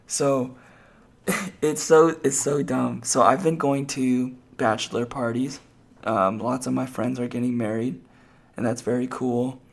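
Guy hosting a podcast, hoarse and raspy, gravelly, rambles with lots of ums. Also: medium pitch, fairly even intonation, a little slow. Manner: rambling, with many fillers and restarts. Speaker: podcast host. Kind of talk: casual solo podcast talk.

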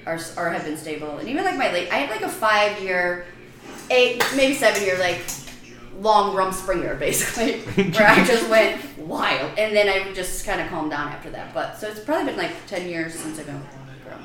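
A woman's voice: medium pitch, lively intonation, roomy sound, a crowd in the background. A woman in her thirties from Chicago with a Chicago accent, a comedian guest on a podcast, joking crudely and bluntly. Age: 30s. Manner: brash, crude joking, quick laughs. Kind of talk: casual podcast chat.

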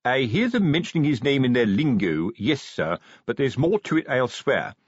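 A man voicing a slow, earnest, deferential police sergeant in an audiobook, with folksy, ungrammatical speech. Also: mid-range voice, big pitch swings, breathy edge, dry recording, muffled recording, pitched above the performer's own voice. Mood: earnest, uneasy. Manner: folksy, ungrammatical, plodding deference with frequent "sir". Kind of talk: audiobook character acting.

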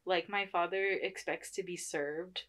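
A woman's voice: on the low side, steady tone, a little slow, fairly bright tone. A young woman talking casually about personal matters as a podcast guest, informal and slangy, often acting out short quotes. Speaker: podcast guest, staff member.

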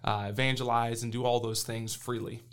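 A man in his thirties, a missionary who grew up in Brazil, answers questions in an earnest, plain-spoken way. His pitch sits in the middle of the range for a man, and he fills his pauses with soft hesitations. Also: little pitch movement, clean tone. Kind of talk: interview answers.

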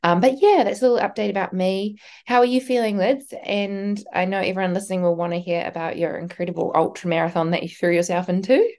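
A woman's voice: medium pitch, fairly even intonation, fast talker, hardly any pauses, darkish tone. A young Australian woman co-hosting a podcast, rambling casually with plenty of "um" and "like". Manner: rambling, lots of "um" and "like". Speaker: podcast co-host.

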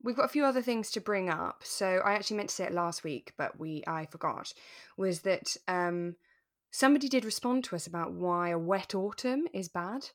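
A woman's voice: on the low side, animated, moderate pace, no echo, studio quality. A woman co-hosting a podcast in casual chat about a radio drama, speaking emphatically and repeating words for stress. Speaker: podcast co-host.

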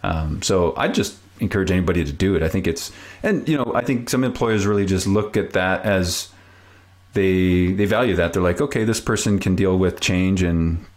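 A man's voice: low-pitched, normal speed. A man in his forties from Canada, a native French speaker, talking casually and reflectively as a guest in an interview. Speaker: podcast guest.

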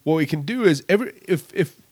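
Man, fairly high voice, blunt, emphatic, casual podcast chat. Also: expressive, fast, darkish tone, slightly rough. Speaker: podcast co-host.

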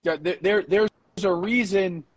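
Man, husky voice, dry close sound, casual podcast co-host, emphatic, repetitive, sweary coach talk. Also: sits high, a little slow, no room sound. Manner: profane, emphatic, repeats phrases.

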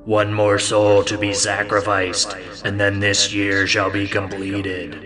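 Guy doing a slow raspy echoey ghost thing, low and cold, some music under it. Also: completely flat delivery. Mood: ominous. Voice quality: rasping, echoed. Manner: slow, solemn pronouncements. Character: rasping ghostly spirit leader, cold and slow.

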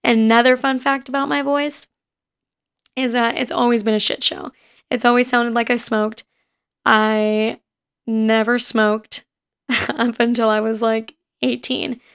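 A woman in her twenties with a raspy voice, hosting a casual podcast and rambling quickly through personal stories. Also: a little high, level tone, a bit bright. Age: 20s. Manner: fast-talking and rambling. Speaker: podcast host. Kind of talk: casual chat. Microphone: home recording setup.

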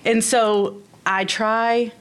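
A woman's voice: on the high side, little pitch movement, very slow, smooth. A woman hosting a casual interview chat in a bubbly, encouraging way. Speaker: host.

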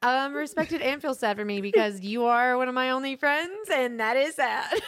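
A woman's voice: high-pitched, moderate pace. A woman in her thirties telling stories in a casual chat with a friend, often breaking into laughter. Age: 30s. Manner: animated storytelling, frequent laughter. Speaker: co-host.

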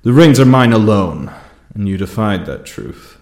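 Cruel storybook dark lord, acted by a male narrator: low, grandiose, deliberate, drenched in echo. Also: big pitch swings. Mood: menacing, wrathful. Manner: grandiose, slow, deliberate declamation. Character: cruel, gloating dark lord, commanding and menacing.

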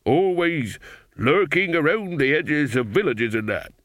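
A man reading a story, voicing a boastful, slow-witted burly woodcutter who keeps adding "right?". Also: on the high side, animated, fairly bright tone, no echo, studio quality, pitched above the performer's own voice. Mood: gossipy. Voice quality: slow, blunt, tag-questions with "right?". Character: boastful burly male woodcutter, dim and gossipy.

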